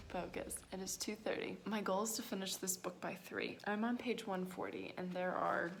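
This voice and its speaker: A woman's voice: mid-range voice, steady tone, moderate pace, vocal fry, soft background music. A tired, sleep-deprived woman vlogging casually to her viewers, informal and rambling with a self-mocking manner. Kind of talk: casual reading vlog, talking to viewers.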